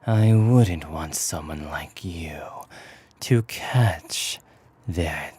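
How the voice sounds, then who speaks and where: A man voicing a possessive, menacing creature lover in an ASMR roleplay, whispering slowly with formal, deliberate phrasing. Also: a bass voice, mellow, heavily acted. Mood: soft, possessive concern. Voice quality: breathy, husky whisper.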